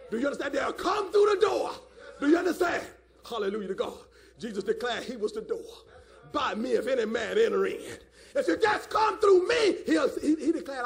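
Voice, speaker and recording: A man a fiery call-and-response sermon, emphatic and repetitive, often rising to a shout, with a gravelly voice. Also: very high voice. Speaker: preacher.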